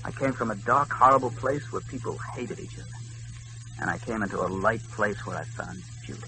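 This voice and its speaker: A man's voice: fairly low pitch, lively intonation, brisk, pushed and bright, slight background noise, rough recording. An actor playing a haunted, lovestruck, obsessive man in melodramatic 1940s radio drama style. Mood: tender, ardent. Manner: intense, melodramatic, repeating himself. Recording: 1940s radio recording with music.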